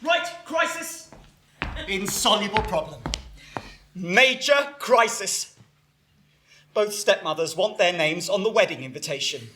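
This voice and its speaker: A man's voice: high voice, lively intonation, unhurried, pauses often, bright, forceful tone, a little hiss or hum, full character voice. A man performing a theatrical stage monologue as a frantic, exasperated bridegroom, with an emphatic way of talking. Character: frantic, exasperated bridegroom venting about family feud.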